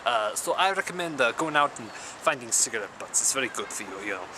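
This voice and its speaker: A young man performs a self-styled wandering samurai who rambles in a flat, deadpan way at a middling pitch. He breaks into sudden crude outbursts. The recording is outdoors, with loud street and traffic noise behind him. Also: quick pace, natural voice. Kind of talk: improvised character comedy to camera.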